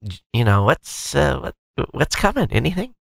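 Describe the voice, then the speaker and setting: Gravelly, chatty male podcast co-host. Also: mid-range voice, moderate pace, no room sound, studio quality. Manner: chatty, rambling, animated questions. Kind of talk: casual podcast chat.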